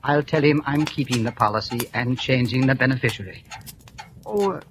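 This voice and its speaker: Here is an actor voicing a frail, lonely old gentleman in a vintage radio play, polite and a little hesitant, sometimes stumbling over his words. He has a middle-pitched voice. A steady hiss runs under him from the old broadcast recording. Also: normal speed, lightly acted. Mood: mild. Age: older. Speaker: elderly insurance policyholder. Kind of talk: 1940s radio drama acting.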